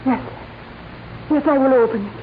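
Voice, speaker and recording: A woman's voice: sits high, moderate pace, lightly acted. An actress playing an earnest, trusting woman in a 1940s radio crime drama. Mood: frightened, pleading. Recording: noisy, tinny 1940s radio recording.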